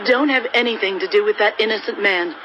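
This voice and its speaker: A woman's voice: mid-range voice, fast, close to an everyday voice. A woman acts an anxious noblewoman in an audio scripture drama, troubled and pleading. The sound is a little dull, with faint noise around her. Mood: anxious warning. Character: governor's anxious wife, troubled by a dream.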